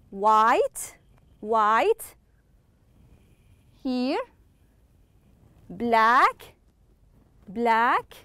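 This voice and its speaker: A woman teaching English to children online, slowly repeating words and praising her pupils. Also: fairly high pitch, bright, forceful tone, clean tone, dry recording. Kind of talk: online classroom lesson.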